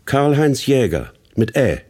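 An actor playing a narrator in a radio play, speaking in a deliberate, literary manner. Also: male voice, mid-range voice, sing-song, darkish tone, breathy edge. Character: measured male narrator, correcting and introducing a rogue writer. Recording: studio radio play with guitar music.

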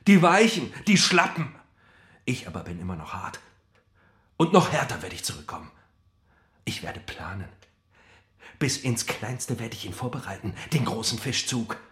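An obsessive convict, wildly swinging pitch. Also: male voice, big pitch swings, moderate pace, pauses often. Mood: defiant, raging. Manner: obsessively repeating, swinging from whispers to shouts. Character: embittered, boastful male convict, unravelling in prison. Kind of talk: theatrical radio play monologue. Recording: roomy echo, music behind. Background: music.

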